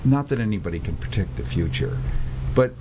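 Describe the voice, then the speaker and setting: Low-voiced male talk radio host, punchy and opinionated, slight noise behind. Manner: animated, opinionated, talks in rapid bursts. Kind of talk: radio interview.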